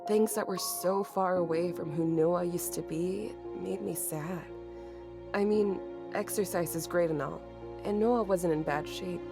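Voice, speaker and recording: Low-voiced grieving young woman, music behind. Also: fairly even intonation, measured pace, gravelly. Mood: sad, reflective. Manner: introspective, bitter, sweary. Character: Katie, grieving, anxious young woman narrating her horror ordeal. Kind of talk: first-person audio drama narration.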